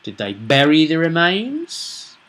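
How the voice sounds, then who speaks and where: A man's voice: on the high side, huge pitch swings, takes it slowly, fairly bright tone. A British man giving casual, unscripted commentary as a game master, emphatic and opinionated, rambling along with rhetorical questions. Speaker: tabletop roleplaying game master and vidcast host.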